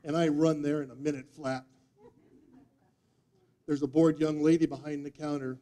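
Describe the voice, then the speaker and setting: A man in his fifties giving a humorous storytelling speech, with theatrical deadpan delivery and dramatic pauses. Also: a little high. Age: 50s. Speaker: speech contest speaker. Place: meeting room before a live audience, indoors. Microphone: distant audience camera microphone.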